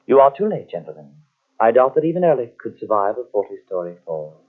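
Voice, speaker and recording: An actor playing an urgent, authoritative gentleman in an old radio horror drama, insistent and dramatic. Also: medium pitch, big pitch swings, fast, phone-quality sound, soft background music. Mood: triumphant.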